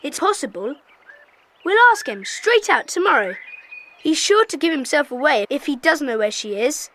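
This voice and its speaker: An actress playing a bossy, eager schoolchild detective with a brisk, insistent manner in a children's audio drama. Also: female voice, high-pitched, lively intonation, fairly bright tone. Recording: hissy old cassette tape.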